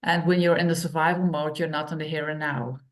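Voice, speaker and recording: A woman, co-hosting an online workshop and telling stories in plain, unhurried phrases with many pauses. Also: deep voice, steady tone, a little hiss or hum. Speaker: workshop co-host and author.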